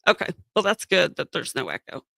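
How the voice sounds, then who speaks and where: A woman's voice: lowish voice, huge pitch swings, a little fast, creaky, pushed and bright, no echo, clean studio sound. A woman hosting a casual live-stream chat on her channel, losing her voice and sounding scratchy, rambling along with plenty of fillers. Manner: chatty, rambling with many fillers. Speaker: channel host. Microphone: podcast microphone.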